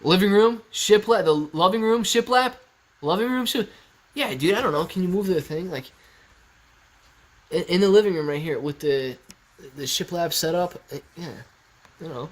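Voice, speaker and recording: A man in his early thirties with a somewhat high voice, hosting a relaxed live stream from his living room. He reads the chat aloud in a laid-back, chatty way and laughs easily. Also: normal speed, pauses often. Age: 30s. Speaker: stock livestream host. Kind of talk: casual live-stream chat reading viewer comments. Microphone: laptop on a kitchen stool at eye level.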